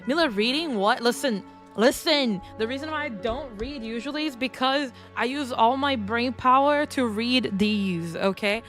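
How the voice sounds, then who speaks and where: A woman's voice: on the high side, normal speed, a bit bright. A woman streamer with a congested, throaty voice chatting playfully and reading game text aloud. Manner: playful and self-mocking, with frequent restarts. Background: game music.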